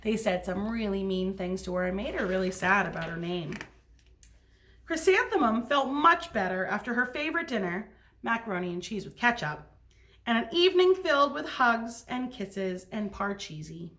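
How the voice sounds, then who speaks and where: A woman's voice: mid-range voice, animated, moderate pace, fairly bright tone. A woman teacher reading a story aloud to young children, with simple, instructive asides.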